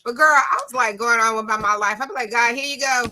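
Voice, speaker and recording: A woman chatting casually and motivationally about faith, animated, repeating phrases for emphasis and acting out her own self-talk. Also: on the high side, fast talker, a little hiss or hum. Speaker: talk show speaker on faith.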